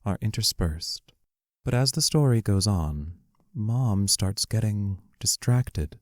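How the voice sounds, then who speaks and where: A man giving scripted narration of stage directions for an audio play in a measured, matter-of-fact way. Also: fairly low pitch, lively intonation, no echo, studio quality.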